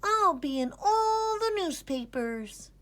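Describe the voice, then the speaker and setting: A woman voicing a boastful, excitable young boy groundhog in a children's storybook, loud and gloating. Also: very high voice, slow, voice pushed up, full character voice. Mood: eager. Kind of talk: children's storybook read-aloud.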